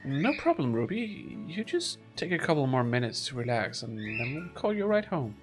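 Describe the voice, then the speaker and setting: A woman reading a game's narration and dialogue aloud, expressive and lively, and chatting casually between lines. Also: a bass voice, normal speed, faint music behind. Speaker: streamer and co-player.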